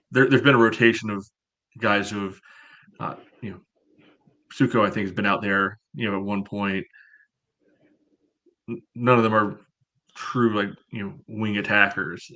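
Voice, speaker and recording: A man on a podcast panel casually analyzing a soccer match, haltingly, leaning on "you know" as a constant filler. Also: on the low side, bright, forceful tone. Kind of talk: casual sports analysis chat.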